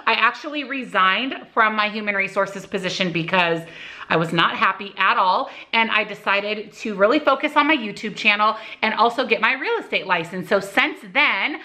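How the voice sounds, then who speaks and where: A woman's voice: medium pitch, expressive, moderate pace, pushed and bright. A woman in her forties chatting casually at home while doing her makeup and answering viewer questions. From United States. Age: 40s. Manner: friendly and talkative while applying makeup. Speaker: YouTuber and real estate agent. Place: home kitchen indoors. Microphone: camera on a tripod close in front.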